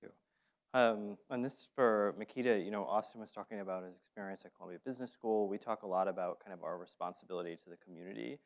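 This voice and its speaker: A man moderating a panel, asking measured, polite questions. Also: fairly low pitch.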